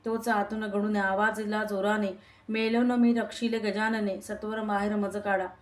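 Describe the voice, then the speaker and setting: A woman reciting a long devotional verse story in a steady, sing-song recitation. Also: mid-range voice, moderate pace.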